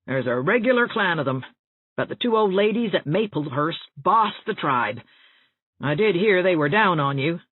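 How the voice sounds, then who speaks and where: A woman's voice: lowish voice, lively intonation, moderate pace, breathy edge, phone-quality sound, pitched above the performer's own voice. A woman voicing a chatty, well-meaning older small-town busybody in an audiobook, with a folksy, rambling, confiding manner. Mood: gossipy, confiding. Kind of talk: audiobook character dialogue. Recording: thin band-limited audio, roomy echo.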